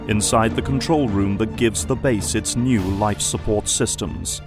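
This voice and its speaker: A man delivers scripted, dramatic narration for an audio drama. His voice is on the low side, with light music underneath.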